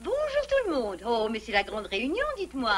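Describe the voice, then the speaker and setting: A woman's voice: a little high, wildly swooping pitch, a little fast, faint music behind. A woman voicing a sociable animal mother in a French cartoon, gracious and effusive. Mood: friendly, gracious. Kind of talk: French cartoon dub acting.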